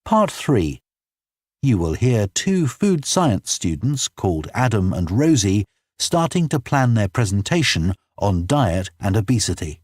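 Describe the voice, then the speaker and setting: A man reading scripted exam instructions as an announcer, formal and deliberate. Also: lowish voice, big pitch swings, darkish tone, no room sound, clean studio sound.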